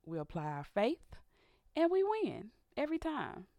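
Raspy woman on a faith radio show, kinda hoarse, dry close sound, gets preachy and fired up. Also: high-pitched, wildly swooping pitch, unhurried, dark tone, no echo. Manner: earnest, rising to a preacher's fervor. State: sinus trouble, slightly hoarse. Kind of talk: candid faith-based radio talk. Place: radio studio.

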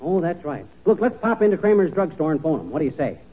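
An actor playing a folksy, blustery, wisecracking husband in a 1940s radio comedy, using slangy everyday talk. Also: male voice, fairly high pitch, sing-song, quick pace, breathy edge. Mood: grumbling, joking. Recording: scratchy, tinny 1940s radio recording.

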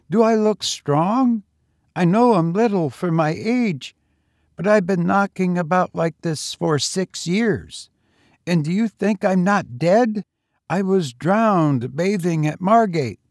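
A man voicing a frail, husky teenage boy tramp who talks eagerly and fatalistically. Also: sits high, darkish tone, dry recording, studio quality, pitched above the performer's own voice.

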